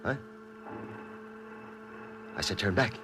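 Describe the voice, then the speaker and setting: An actor playing a ruthless, domineering industrialist in a 1950s radio drama, speaking in a commanding, impatient, bombastic way. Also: male voice, takes it slowly, natural voice. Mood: alarmed, threatening. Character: ruthless, domineering male industrialist obsessed with conquering space. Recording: noisy old 1950s radio recording.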